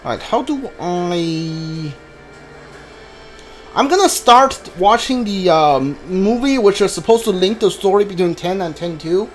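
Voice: medium pitch, expressive, unhurried, faint music behind. A video game streamer giving casual, hesitant commentary while thinking aloud.

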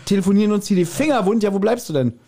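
A man's voice: high voice, animated, racing, mellow. A man co-hosting a podcast in casual chat, teasing with dry sarcasm. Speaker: podcast co-host.

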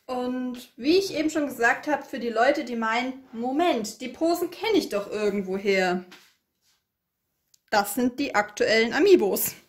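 A woman's voice: a little high, expressive, measured pace. A woman co-hosting an unboxing in casual review chat, recorded in a roomy, echoing space.

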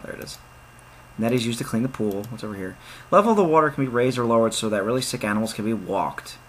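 A young man narrating a point-and-click game as he plays, his voice bright and lively, swinging up for jokey asides. He reads the on-screen text aloud in a casual, excitable way. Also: fairly low pitch, a little hiss or hum. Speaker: let's play gamer. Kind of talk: casual let's play commentary.

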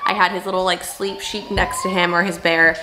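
A young woman, a stay-at-home mom, talking casually to camera in a home video diary, hoarse and rambling with many fillers. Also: fairly low pitch, little pitch movement, brisk, background noise. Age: young adult. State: hoarse, losing her voice. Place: home, bedroom and kitchen.

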